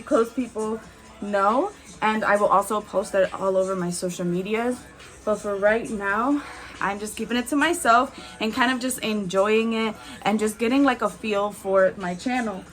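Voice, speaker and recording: A woman in her twenties chatting candidly as a beauty vlogger while doing her makeup, with frequent "like"s and soft laughs. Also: medium pitch, normal speed, soft background music. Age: 20s.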